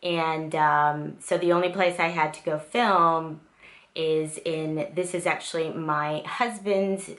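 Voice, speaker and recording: A woman in her thirties, born in South Korea and raised in the States, talks to her camera in a low, easy voice. She rambles through a get-to-know-me vlog, full of ums and restarts. She records in her husband's music studio at home. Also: steady tone, slow, fairly bright tone. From South Korea, raised in the United States. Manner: rambling and chatty, many fillers and restarts. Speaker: vlogger. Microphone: camera in front of her.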